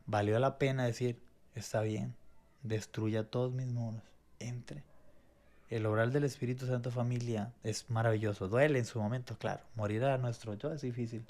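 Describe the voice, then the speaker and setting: A man's voice: mid-range voice, steady tone, moderate pace, a little mellow. Venezuelan-born guy on a faith podcast, earnest testimony, lots of restarts. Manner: earnest, reflective, with many restarts and repetitions. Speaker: co-host.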